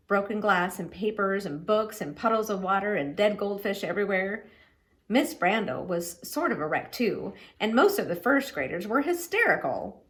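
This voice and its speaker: Animated woman reading a children's story aloud. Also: medium pitch. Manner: animated, dramatic emphasis on key words. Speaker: children's book reader. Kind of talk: expressive storybook read-aloud.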